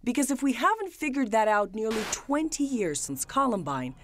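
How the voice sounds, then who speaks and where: A woman's voice: on the high side, sing-song, normal speed, slight background noise. A woman news reporter delivering scripted narration for a broadcast story.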